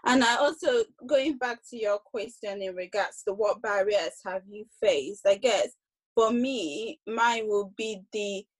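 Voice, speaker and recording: A young woman speaking on a discussion panel, reflective and hesitant, with frequent pauses and restarts. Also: mid-range voice, low-quality recording. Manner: hesitant, many ums and restarts.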